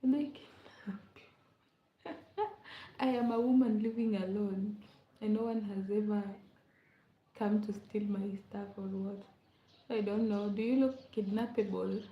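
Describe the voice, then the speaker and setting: A woman's voice: medium pitch, steady tone, a little slow, long pauses, slight background noise. A young woman from Kenya, chatting casually with viewers on a livestream, laughing often and repeating her words. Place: rented room at home, indoors. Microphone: laptop mic. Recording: echoey room, dull lo-fi sound.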